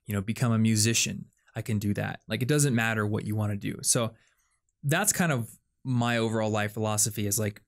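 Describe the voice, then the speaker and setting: A man's voice: fairly low pitch, fairly quick, gravelly. A young man, hosting a casual podcast chat and explaining ideas with plenty of fillers. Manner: chatty, explains things with lots of "like" and "um". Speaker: podcast host.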